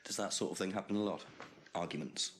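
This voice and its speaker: An actor playing a polite, probing police detective inspector in a filmed mystery game. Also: male voice, low voice, quick pace, fairly bright tone. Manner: courteous, inquisitive.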